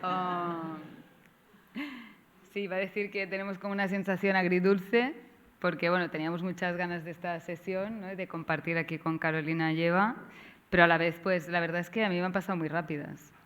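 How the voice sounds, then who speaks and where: A woman with a Catalan accent, whose first language is Catalan, opens a seminar session as its organizer, talking haltingly with frequent tag questions and restarts. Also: lowish voice, steady tone, fairly bright tone. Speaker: course organizer and moderator. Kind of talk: seminar introduction.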